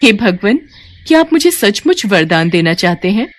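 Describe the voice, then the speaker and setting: Woman as an earnest, expressive celestial maiden. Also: mid-range voice, moderate pace, slight background noise, close to an everyday voice. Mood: eager, reverent. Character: young celestial maiden, lovestruck, earnest and pleading. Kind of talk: dramatised devotional storybook cartoon.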